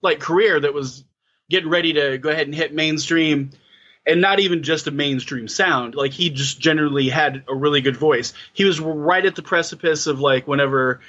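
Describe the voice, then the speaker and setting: A man's voice: on the high side, fairly bright tone, dull audio. A man in his thirties chatting casually on a podcast, hesitant, with many fillers and restarts. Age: 30s. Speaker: podcast co-host. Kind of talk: casual chat.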